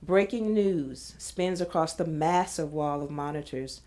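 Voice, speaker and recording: Mellow, even-toned woman narrator. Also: sits low, measured pace. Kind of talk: scripted audio drama narration, reading screenplay directions.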